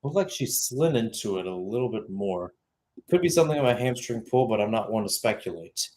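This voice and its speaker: A man's voice: lowish voice, animated, fairly quick, darkish tone. A young man giving live radio-style soccer play-by-play, drifting into conversational, rambling asides and correcting himself as he goes. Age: young adult. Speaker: play-by-play commentator.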